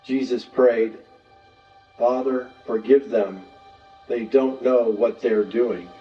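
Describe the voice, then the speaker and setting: A man giving a measured, solemn scripted narration of the Passion story. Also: mid-range voice, fairly even intonation. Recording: dull, distant, echoing church sound with music.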